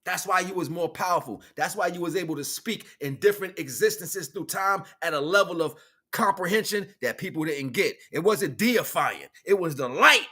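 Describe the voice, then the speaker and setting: A man's voice: high voice, lively intonation, normal speed, bright, forceful tone, slightly rough. An American man giving a rambling, emphatic, freeform spiritual monologue in casual street slang, swearing freely. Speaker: livestream host, self-styled spiritual teacher.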